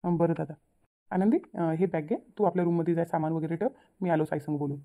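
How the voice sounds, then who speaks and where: A woman's voice: fairly low pitch, steady tone, fast talker, dark tone, close to an everyday voice. A woman voicing an apologetic young newlywed son in a cartoon family drama, pleading and explaining himself in a Vidarbha Marathi accent. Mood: concerned, soothing. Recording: tinny phone-like narrow audio.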